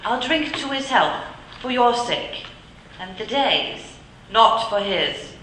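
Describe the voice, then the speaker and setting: A woman playing a sharp-tongued mother. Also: fairly high pitch, animated. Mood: scornful. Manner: brisk, scornful when roused. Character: Mrs Cratchit, sharp-tongued loving mother. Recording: roomy echoey recording.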